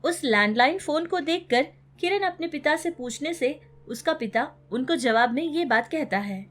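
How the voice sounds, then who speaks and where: A woman's voice: sits high, expressive, moderate pace, a bit bright. A woman storyteller reading scripted narration for a children's moral story.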